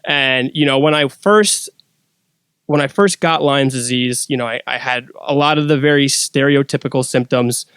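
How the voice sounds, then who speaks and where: A man's voice: medium pitch, normal speed, fairly bright tone, clear, resonant tone, no room sound, studio quality. A man speaking as a podcast guest, casually telling a personal story.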